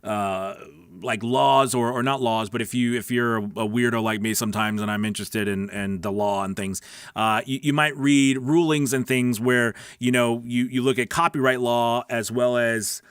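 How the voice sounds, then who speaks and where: A man's voice: mid-range voice, steady tone, moderate pace, a bit bright, clear, resonant tone, no echo, clean studio sound. A man hosting a live question-and-answer stream as a coach, talking casually and emphatically, repeating words and checking in with his listeners. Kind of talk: casual instructional livestream chat, answering viewer questions.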